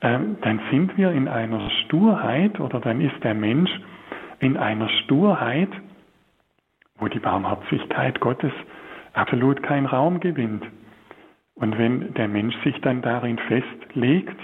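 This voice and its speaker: A priest from Swabia, giving a spiritual talk in a slow, searching way with many hesitations. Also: male voice, medium pitch, expressive, breathy edge, narrow, tinny audio. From Swabia, Germany. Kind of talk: spiritual talk on a religious broadcast.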